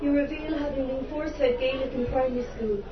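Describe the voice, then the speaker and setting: A woman's voice: sits high, steady tone, brisk, a crowd in the background. An Irish woman performing her spoken word poetry to a live audience, impassioned and theatrical with wry humorous asides. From Ireland. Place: live venue before an audience. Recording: roomy, distant, dull live recording with background noise.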